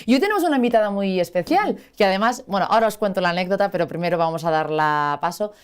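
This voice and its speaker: A woman hosts a studio talk show in a mid-pitched, everyday voice. She chats freely, wanders into anecdotes and fills gaps with little asides. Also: lively intonation, fast, smooth. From Basque Country, Spain. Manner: chatty, anecdotal, many fillers and asides. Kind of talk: chatty studio interview.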